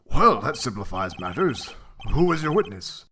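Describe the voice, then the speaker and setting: Man playing a stern, expressive old judge. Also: mid-range voice, husky, heavily acted. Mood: calm authority. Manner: formal, measured, authoritative. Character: stern, dignified elderly courtroom judge. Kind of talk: game fandub courtroom acting.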